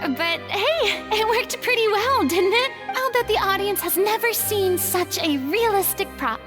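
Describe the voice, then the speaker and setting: A proud, theatrical young former stage star with a high, bright voice, quick to bristle and get flustered. Soft music sits under this game cutscene line. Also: female voice. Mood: upbeat. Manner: animated, flustered and defensive. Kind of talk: video game cutscene dialogue.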